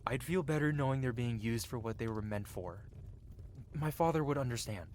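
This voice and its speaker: A man's voice: mid-range voice, moderate pace, a little hiss or hum, natural voice. A man playing a cocky, flirty yet tender-hearted medieval blacksmith in an intimate, theatrical ASMR fantasy roleplay. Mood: caring, earnest. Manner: teasing, theatrical, with soft hesitations. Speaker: audio roleplay performer. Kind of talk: ASMR roleplay acting, intimate one-sided dialogue.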